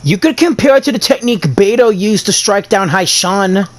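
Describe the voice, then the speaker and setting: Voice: medium pitch, expressive, moderate pace, a little hiss or hum. A gameplay narrator reading on-screen dialogue and adding short comments. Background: faint music.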